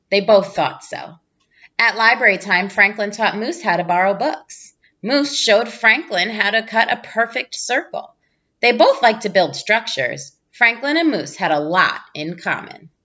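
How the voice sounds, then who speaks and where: A woman narrates a children's storybook in an expressive read-aloud style. Her voice sits at a middle pitch but moves up and down a great deal. The recording is slightly dull. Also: measured pace. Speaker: storybook reader, teacher.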